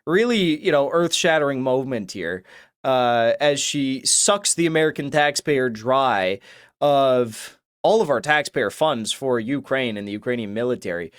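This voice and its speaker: Sarcastic guy doing political commentary, quick dismissive delivery. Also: mid-range voice, animated, smooth. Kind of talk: opinionated commentary.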